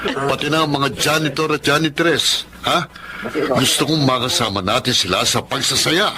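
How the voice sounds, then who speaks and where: Man acting a friendly celebratory boss husband, radio drama, average pitch. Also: moderate pace, a loud crowd, natural voice. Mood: jovial, commanding.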